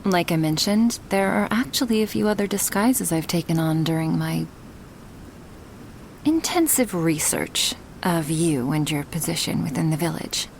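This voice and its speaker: A woman playing a huge, gentle and affectionate female dragon in an ASMR roleplay, speaking softly and intimately to the listener in formal wording, often slipping toward a whisper. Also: sits low, expressive, normal speed, vocal fry, slight background noise. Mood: explaining, gentle.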